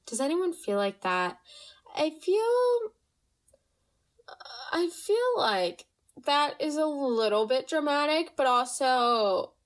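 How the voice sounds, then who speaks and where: A young woman hosting a podcast, chatting casually and reading listener stories, her voice slightly nasal from allergies. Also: medium pitch. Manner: chatty, animated, reads listener stories aloud. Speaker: podcast host. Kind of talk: casual storytime chat.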